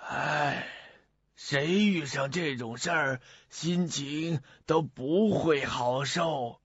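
Radio drama casting: male actor as elderly theatre gatekeeper, sighing, extremely slow delivery, heavily rasped voice. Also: on the high side, a bit bright, no echo, muffled recording, heavily acted. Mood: sighing, weary. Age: older. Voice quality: husky. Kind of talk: Chinese suspense radio drama acting.

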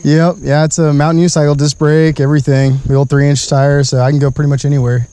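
A man's voice: fairly high pitch, level tone, fast, mellow, smooth. A young man vlogging while unicycling along a wooded trail, chatting casually and playfully to other hikers, sometimes out of breath. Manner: playful, hums and exclaims while riding, out of breath at times. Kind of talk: casual chat while riding. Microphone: helmet or chest action camera.

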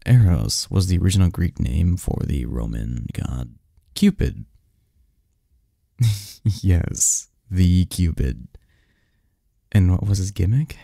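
Snarky bookish male research assistant played by a man for ASMR roleplay; deep, gravelly, wry, fond, chuckling under his cynicism. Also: very animated, warm tone, dry recording, clean studio sound, heavily acted. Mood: wry, lecturing. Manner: wry, halting and chuckling, drifts into bookish lecturing. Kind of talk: intimate ASMR audio roleplay.

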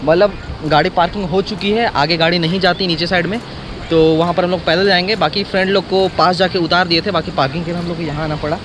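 A young man from Jharkhand, India, chatting excitedly to viewers about a forest picnic trip, recorded outdoors on a handheld action camera. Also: high voice, quick pace, noisy background. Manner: excited, chatty, addresses viewers as bhai and yaar. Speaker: travel vlogger. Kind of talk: casual travel vlog narration. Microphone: action camera or phone held by the speaker.

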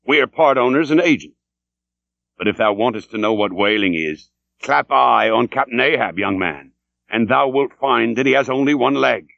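A man's voice: expressive, moderate pace, vocal fry, no room sound, highs cut off. A man voicing a fierce, blustering old male sea captain who barks archaic questions. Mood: fierce, lecturing. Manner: booming, archaic, interrogating.

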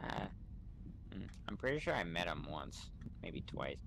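A man's voice: low-pitched, very animated, unhurried, vocal fry, noisy background. A man talking casually in character in an online role-playing game over a voice chat microphone.

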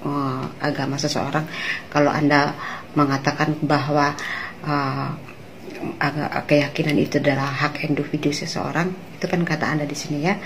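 A woman's voice: low voice, a little hiss or hum. A young woman from West Sumatra, speaking earnestly into a phone camera in a small room and answering critics with rhetorical questions. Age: young adult. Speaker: convert replying to comments. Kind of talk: direct-to-camera reply video. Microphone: phone at arm's length.

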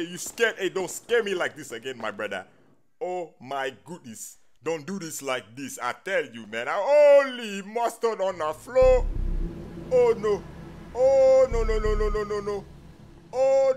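A man voicing an excitable, indignant crewmate in an animated game parody, dramatic and repetitive. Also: on the high side, slight background noise. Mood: panicked. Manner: dramatic, repeats himself, exclamatory.

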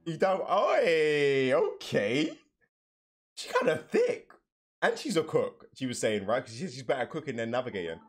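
A man's voice: mid-range voice, moderate pace. A young man giving casual, exclamatory live reaction commentary, slangy and full of swearing. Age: young adult. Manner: expressive, exclamatory, slangy, profane. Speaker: reaction video host. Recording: background game music under voice.

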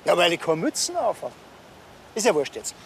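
A man's voice: sits high, wildly swooping pitch, normal speed, a little hiss or hum, exaggerated voice. An actor playing a dry, bossy small-town policeman with a Bavarian accent, talking in a laconic, colloquial way in a TV crime comedy. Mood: dry bickering.

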